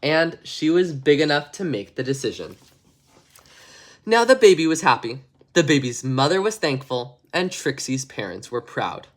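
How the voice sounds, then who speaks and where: A man's voice: fairly high pitch, normal speed. A man narrating a children's bedtime story expressively, chatty and casual with ad-libbed asides. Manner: expressive, chatty, ad-libbing around the text. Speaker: storybook reader.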